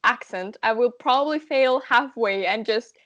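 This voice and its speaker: A woman with a Spanish accent co-hosting a casual podcast, talking quickly and animatedly. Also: a little high, a bit bright, dull audio. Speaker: podcast co-host.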